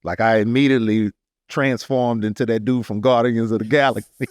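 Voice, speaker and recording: An American man co-hosting a casual podcast, animated and slangy, often throwing in short affirming interjections. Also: medium pitch, a little fast, clean tone, no room sound, studio quality. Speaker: podcast co-host.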